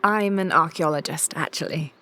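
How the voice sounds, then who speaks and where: An actress playing a composed, curious young archaeologist adventurer in a video game, speaking in a measured, polite, earnest way. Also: female voice, deep voice, bright, forceful tone. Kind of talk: video game cutscene acting.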